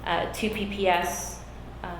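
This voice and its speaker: A woman running for a school board seat, whose first language is Spanish, answering questions earnestly with frequent pauses and fillers. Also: medium pitch, little pitch movement. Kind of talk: candidate interview. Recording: echoey room, background noise.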